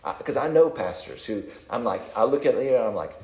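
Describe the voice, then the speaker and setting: A male pastor preaching a sermon in a calm, conversational way, self-deprecating and often repeating words. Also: mid-range voice, a little fast, reverberant, poor audio. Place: church sanctuary.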